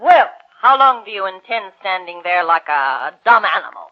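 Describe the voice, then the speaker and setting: An actor playing a brusque, nosy, sharp-tongued housekeeper in a scolding, sarcastic 1940s radio manner. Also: male voice, high-pitched, sing-song, moderate pace, soft background music. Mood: scolding, impatient. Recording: dull, lo-fi 1940s radio recording.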